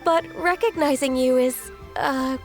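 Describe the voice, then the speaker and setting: A woman voicing a gentle, dutiful young secretary in a video game, polite and earnest. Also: high voice, unhurried, faint music behind. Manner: polite, earnest, soft-mannered. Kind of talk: anime-style video game voice acting.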